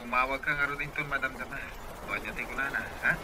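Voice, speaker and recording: A man with a northern Philippine accent plays a friendly, low-key young suitor in radio comedy drama, casual and inviting. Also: fairly even intonation, quick pace, lightly acted. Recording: noisy background.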